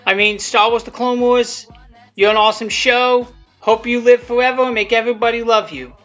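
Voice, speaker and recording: A person giving rambling, unscripted commentary on their own video, halting and repeating themselves, with blunt, self-deprecating swearing. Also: medium pitch, a bit bright. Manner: halting, repetitive, often restarting sentences. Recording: muffled lo-fi audio, music behind.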